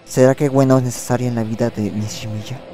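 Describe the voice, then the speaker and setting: A man's voice: medium pitch, fairly even intonation, fairly quick, mellow, close to an everyday voice. A man voicing a brooding, awkward teenage boy in a fan dub, speaking hesitantly and introspectively. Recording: music playing behind the voice.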